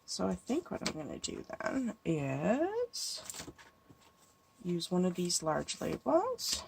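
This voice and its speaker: A woman with a stuffy cold musing to herself as she works, her low voice heavy with a gravelly fry. She rises and falls a lot as she weighs each choice. There's a clear hiss of noise under the recording. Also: wildly swooping pitch, slow pace, a little mellow. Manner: thinking aloud, musing and hesitant. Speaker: card-making crafter. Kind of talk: casual crafting tutorial talk.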